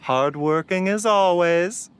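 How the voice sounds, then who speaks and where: A man playing a gentle, courteous doctor in a video game, speaking in an unhurried way. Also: high-pitched, clean tone. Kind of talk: video game cutscene acting.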